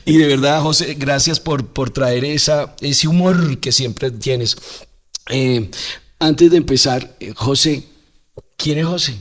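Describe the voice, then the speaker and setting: A man's voice: medium pitch, takes it slowly. A man hosting a podcast in a casual, conversational way. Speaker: podcast host.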